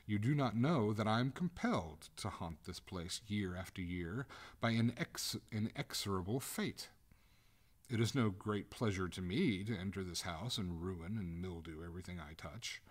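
A man's voice: deep voice, animated, normal speed. A man voicing a haughty water ghost maiden. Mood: reproving. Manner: prim, lofty, reproving. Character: haughty drowned maiden ghost, centuries old.

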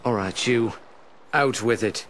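Sly game pirate captain, male actor, mid-pitched swagger, wry theatrics, noisy rumbling capture. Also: unhurried, a bit bright, natural voice. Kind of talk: video game cutscene acting.

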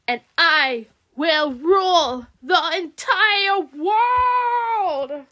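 A woman's voice: high voice, big pitch swings, slow, sharp tone, voice pushed up, exaggerated voice. A woman voicing a gloating, theatrical evil female alicorn queen in a fan pony roleplay.

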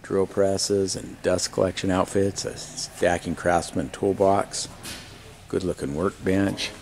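A man's voice: low voice, fairly even intonation, slow, a little mellow. A man giving a casual, unscripted walk-around narration as an auctioneer promoting a sale. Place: large warehouse showroom, later outdoor lot. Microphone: handheld camera mic, operator behind camera. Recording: camcorder audio with faint background noise.

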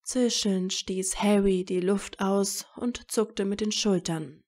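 A woman's voice: mid-range voice, fairly even intonation, takes it slowly, darkish tone. A woman narrating a scripted fan fiction story as a storyteller.

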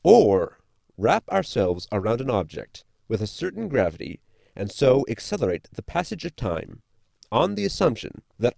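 A polite, pedantic science robot from a sci-fi audio comedy, acted by a man, with a heavily creaky voice that swings up and down dramatically. He speaks in formal, precise sentences with deference, in a very dry, close sound. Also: mid-range voice, warm tone, no room sound, full character voice. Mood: politely apologetic.

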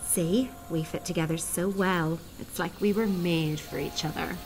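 A woman's voice: on the low side, steady tone, measured pace, slight background noise, rough recording. An actress playing an earnest, insecure late-blooming woman in a radio soap, with a wry way of talking. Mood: teasing. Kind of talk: radio soap opera acting.

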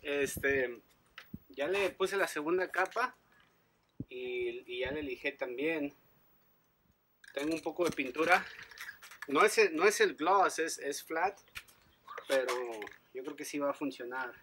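A man, chatting casually as he does a repair, relaxed and self-deprecating, with halting restarts. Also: on the high side, a little hiss or hum. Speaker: trucker vlogger. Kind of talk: casual DIY commentary. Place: outdoors in a driveway by a garage.

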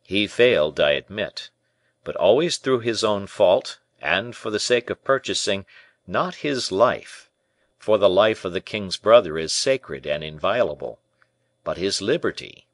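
A man reading a storybook part as a courtly, devoted conspirator, formal, deferential and persuasive in measured rhetoric. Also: sits low, lively intonation, no room sound, studio quality. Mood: grave, emphatic.

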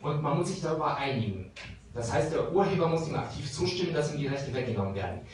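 A man in his thirties gives a lively talk to a pub crowd and explains with examples. His voice sits slightly high. The microphone catches him from a distance in a very echoey room with clear noise behind him. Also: quick pace. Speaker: lawyer speaking at a meetup. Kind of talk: informal talk answering audience questions. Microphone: handheld microphone. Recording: very echoey room from far off, noisy.

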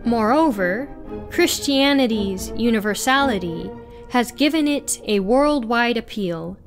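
A young woman reading a written article aloud as narration, in a deliberate, formal manner. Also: a little high, animated. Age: young adult. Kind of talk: scripted read-aloud narration. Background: music.

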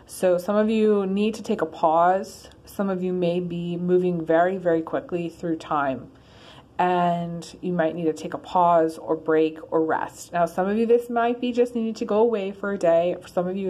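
A woman's voice: on the low side, steady tone, moderate pace, darkish tone. Chatty woman reading tarot, lots of ums. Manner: rambling, many ums and restarts. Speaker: tarot reader. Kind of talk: casual one-card tarot reading. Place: in her car.

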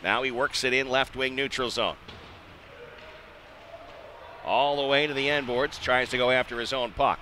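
An American man doing live radio-style play-by-play hockey commentary, measured in routine play and excitable on big plays. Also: mid-range voice. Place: indoor ice arena. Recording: noisy live rink broadcast.

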